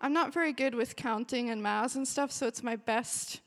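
A woman in her forties with a high, bright voice gives a warm, chatty welcome to a church retreat gathering. She sounds emotional and breaks into cheering bursts. Her voice rings out with a long reverb in a big hall. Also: normal speed. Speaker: worship director. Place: large hall with a live audience.

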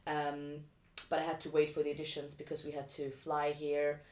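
Woman, low voice, telling her story casually, roomy echo. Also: completely flat delivery, moderate pace, darkish tone. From Vienna, Austria. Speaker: interview guest, dancer. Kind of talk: casual chat, telling personal experiences. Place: living room. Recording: echoey room.

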